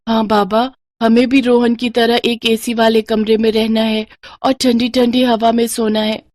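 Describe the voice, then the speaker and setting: A woman's voice: a little high, darkish tone, dry recording, studio quality, pitched above the performer's own voice, lightly acted. A woman voicing a poor, innocent little girl in a children's story, with a childlike, pleading and polite manner.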